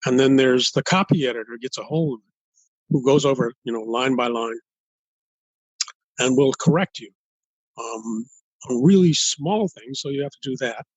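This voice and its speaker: An older man from Los Angeles in an interview as a guest author, speaking in a halting, thoughtful way and building his points step by step. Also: mid-range voice, no echo, lo-fi sound. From United States, Los Angeles. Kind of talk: interview conversation.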